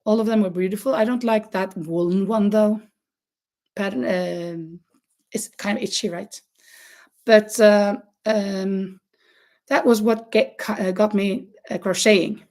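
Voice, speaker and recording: A woman's voice: mid-range voice, fairly even intonation, unhurried, a little mellow. A woman with a non-native English accent, hosting a casual crochet live stream and chatting with viewers. She talks loosely, laughs often and stops to search for words.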